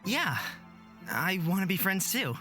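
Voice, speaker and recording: A woman's voice: medium pitch, sing-song, unhurried, fairly bright tone, faint music behind. A woman voicing a naive, innocent young man in a visual novel, speaking hesitantly and earnestly. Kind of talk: visual novel character reading.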